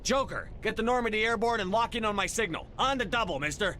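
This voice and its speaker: An actor playing a resolute, heroic human military commander in a video game, speaking in a firm, clipped way. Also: male voice, sits high, animated, fast talker, sharp tone, slight background noise. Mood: urgent command.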